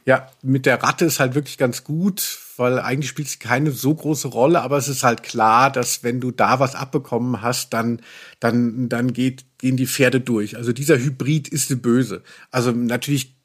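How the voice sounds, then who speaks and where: A man's voice: medium pitch, steady tone, normal speed, husky. Guy podcaster, mid voice, ironic chat, explains stuff like a mock professor. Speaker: podcast co-host, pop journalist. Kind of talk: casual ironic podcast discussion.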